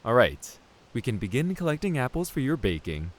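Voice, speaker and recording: A man's voice: mid-range voice, very animated, a little fast. A man playing a patient, dutiful royal knight in a fantasy audio drama, respectful and formal. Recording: clear background hiss.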